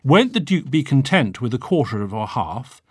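A man's voice: mid-range voice, big pitch swings, normal speed, darkish tone, breathy edge, no echo, studio quality. A man voicing a poor English fisherman, meek and plaintive, pleading in an audiobook. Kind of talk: audiobook character voice.

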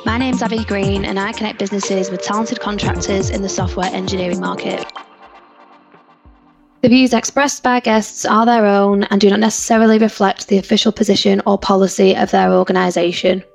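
A woman's voice: mid-range voice, fairly even intonation, brisk, vocal fry, faint music behind. A woman hosting a podcast panel, introducing the guests and moderating the talk in a friendly, upbeat way. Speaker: podcast host.

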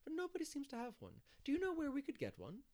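A man's voice: extremely high pitch, wildly swooping pitch, fast, a little mellow, voice pushed up, full character voice. A man voicing a bustling, opinionated village matron in a storybook, chatty and sure of herself.

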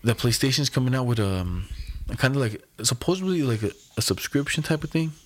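A man's voice: medium pitch, lively intonation. Slangy, chatty podcast guy. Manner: slangy, chatty, quick to laugh. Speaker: podcast co-host. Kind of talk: casual chat.

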